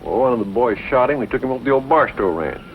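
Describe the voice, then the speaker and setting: A quick-talking, gravelly frontier lawman played by a man, blunt and urgent. The old film sound is thin and tinny. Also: medium pitch, animated, slight background noise, lightly acted. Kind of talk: old western film acting.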